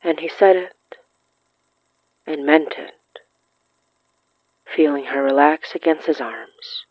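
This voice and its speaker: A narrator reading scripted period fiction in a measured, deliberate way with pauses. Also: mid-range voice, dry recording, dull audio. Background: background music.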